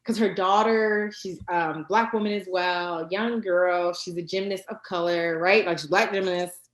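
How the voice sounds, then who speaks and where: A woman guest in a casual interview, talking with lively energy, many fillers and quick restarts. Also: on the low side, roomy sound. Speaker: guest, card deck creator.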